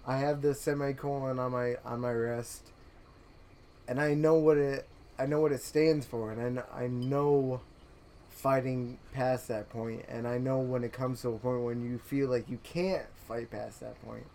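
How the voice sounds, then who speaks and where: A man's voice: medium pitch, steady tone, a little slow, a little mellow, slight background noise. A young man co-hosting a podcast, talking in a rambling, earnest way with frequent restarts and laughs. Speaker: podcast co-host. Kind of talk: casual chat.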